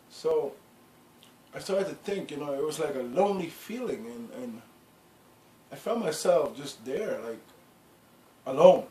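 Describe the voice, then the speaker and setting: Middle-aged man, mid pitch, rambling casual storyteller, echoey room. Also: animated, measured pace, pauses often, dark tone. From Guyana. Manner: rambling, lots of "you know" and restarts. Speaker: clothing brand founder. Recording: echoey room with faint background noise.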